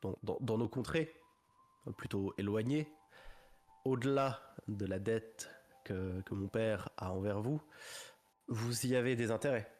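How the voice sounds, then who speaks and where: A game master voicing a wary young man who bargains over his father's debt, talking in halting, hedging restarts. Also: lowish voice, darkish tone, natural voice. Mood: guarded. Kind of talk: voicing a character in a tabletop game.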